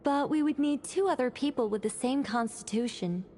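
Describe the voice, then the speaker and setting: A woman's voice: high-pitched, animated, moderate pace, mellow, a little hiss or hum. An actress playing a self-assured scholarly woman in a video game, formal and precise as she explains at length. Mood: explanatory.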